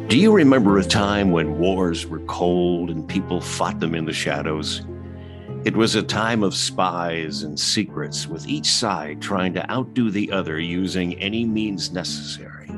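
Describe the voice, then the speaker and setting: Deep mysterious radio storyteller over music. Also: male voice. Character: mysterious male midnight storyteller introducing a spy tale. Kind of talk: dramatic radio play narration.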